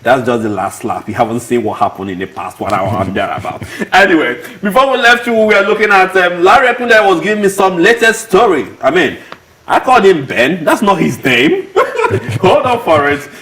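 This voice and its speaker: A man's voice: sits high, animated, brisk, fairly bright tone, low-quality recording. A man hosting a live sports talk show, jovial and chatty, laughing often. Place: studio.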